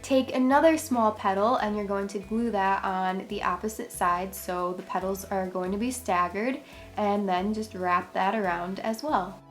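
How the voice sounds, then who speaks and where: A woman's voice: mid-range voice, normal speed, music in the background. A woman hosting a craft tutorial, giving chatty step-by-step instructions, her voice congested and a little worn. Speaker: craft channel host.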